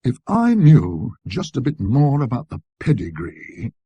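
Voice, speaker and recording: A man's voice: mid-range voice, wildly swooping pitch, a little slow, mellow, breathy edge, no echo, voice pushed up, full character voice. A man voicing a shrewd, deferential antique dealer with folksy, ungrammatical sales patter, in a storybook reading. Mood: persuasive, earnest.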